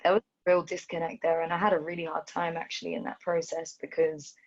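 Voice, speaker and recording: Young woman, London accent, from Ethiopia, low voice, thoughtful and hesitant, muffled video-call sound. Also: flat delivery, quick pace, creaky. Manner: thoughtful, hesitant with many ums and restarts. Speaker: dancer and choreographer. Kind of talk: reflective conversation.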